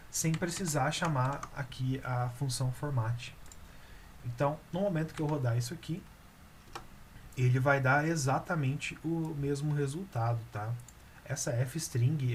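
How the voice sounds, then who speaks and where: A man's voice: medium pitch, noisy background. Brazilian man teaching code over typing. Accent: Brazilian Portuguese. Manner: relaxed, hesitant explaining with fillers while typing. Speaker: programming instructor. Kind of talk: screen-recorded coding lesson.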